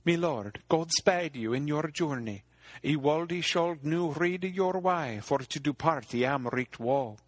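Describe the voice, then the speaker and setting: A man's voice: on the high side, a little slow, no echo. A man voicing a loyal young knight, speaking archaic verse earnestly and emphatically.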